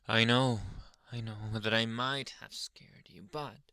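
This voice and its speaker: A man voicing a young alpha werewolf, tender yet menacing and possessive, in an intimate ASMR roleplay that drifts between halting murmurs and whispers. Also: medium pitch, bright, forceful tone, smooth, no echo, studio quality. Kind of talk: ASMR roleplay addressed to the listener.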